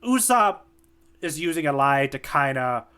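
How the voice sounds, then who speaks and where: A man's voice: fairly high pitch, sing-song, a little slow, sharp tone, clear, resonant tone. Joking man hosting a podcast. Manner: joking, loose and filler-heavy. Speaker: podcast host. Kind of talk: casual chat.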